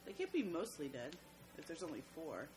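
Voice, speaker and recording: Wry low-voiced woman gamer. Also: very animated, brisk, over music. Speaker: player. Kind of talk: casual gaming chat.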